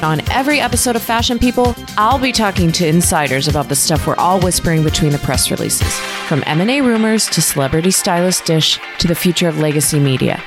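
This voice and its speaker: A woman's voice: lowish voice, expressive, fast talker. A woman podcast host reading a scripted promo for a show. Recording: music behind the voice.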